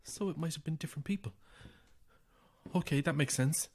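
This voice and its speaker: A woman's voice: deep voice, a little fast, mellow. An actress in a horror audio drama playing a frightened, unravelling woman, anxious and moving between whispers and cries. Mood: distraught. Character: frightened, unravelling woman trapped on an empty housing estate.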